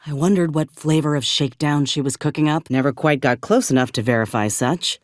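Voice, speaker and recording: A woman's voice: sits low, fairly quick, dry recording, natural voice. Woman acting grim soldier outcast, studio-dry. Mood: guarded, challenging. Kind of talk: video game character dialogue.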